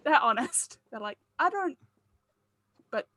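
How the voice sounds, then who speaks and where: Woman, higher voice, casual call chat, writer leading a read, jokey, self-deprecating. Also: lively intonation, slow, sharp tone, no echo, studio quality.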